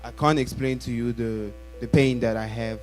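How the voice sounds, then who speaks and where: Male church interpreter, medium pitch. Halting consecutive delivery of a sermon, rewording on the fly, light music behind. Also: fairly even intonation, darkish tone. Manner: halting, rephrases as he translates. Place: church, before a congregation. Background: faint music.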